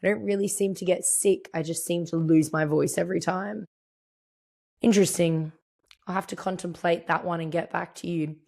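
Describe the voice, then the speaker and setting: A young woman with a croaky, partly lost voice, chatting reflectively as a podcast host and voicing her own thoughts as she tells a story. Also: lowish voice, fairly even intonation, normal speed, a little mellow. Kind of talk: casual storytelling monologue.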